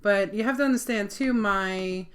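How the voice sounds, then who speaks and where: A woman's voice: mid-range voice, normal speed. A middle-aged woman with a New York accent, chatting casually as co-host of a live Q&A stream. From Long Island, New York. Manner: chatty, warm laughs, filler ums.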